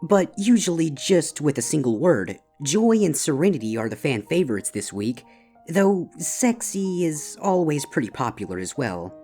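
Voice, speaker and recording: An elegant female unicorn barista, acted by a woman in a storybook reading, full of teasing cheer. The voice is on the low side but very animated, with polished, chatty patter. Soft music plays behind it. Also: moderate pace. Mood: cheeky. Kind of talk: scripted story dialogue.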